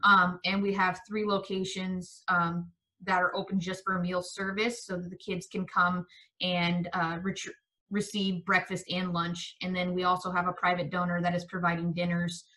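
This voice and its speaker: Woman giving a work update on a call, bright voice, kinda flat delivery. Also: fairly low pitch, normal speed, rough recording. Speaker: nonprofit representative. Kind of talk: informational update in a work meeting. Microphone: remote conference call.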